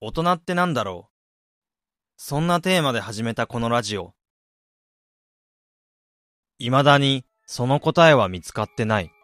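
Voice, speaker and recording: A man's voice: medium pitch, lively intonation, normal speed, long pauses, fairly bright tone, no echo, clean studio sound, natural voice. Wistful, blunt man in a radio drama, acted by a man. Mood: reflective narration. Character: wistful man unsure whether he became an ideal adult. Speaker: radio drama lead and narrator. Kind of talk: amateur radio drama dialogue and reflective narration.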